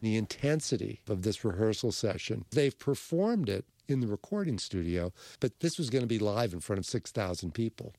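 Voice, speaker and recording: Low male documentary narrator. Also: lively intonation, moderate pace, vocal fry, hardly any pauses, dark tone. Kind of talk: scripted narration. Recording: music playing underneath.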